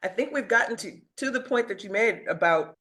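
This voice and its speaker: Lady guest on a podcast, bright forceful voice, chatty but making her case, rephrases as she goes. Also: medium pitch, lively intonation, a little fast. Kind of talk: conversational discussion.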